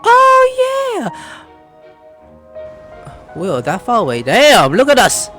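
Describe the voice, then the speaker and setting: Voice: mid-range voice, very animated, normal speed, a bit bright. A gaming YouTuber giving casual, playful, exclamatory commentary, talking to themselves during a playthrough. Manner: playful, exclamatory, talks to self. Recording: faint game music behind.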